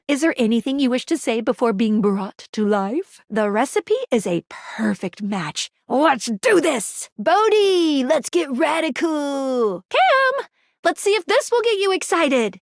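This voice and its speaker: High, bubbly young game guide. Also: female voice, dry recording, studio quality, full character voice. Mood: excited, bubbly. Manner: bubbly, playful, quirky, earnest. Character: perky upbeat young female guide in a dating game. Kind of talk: video game character voice acting.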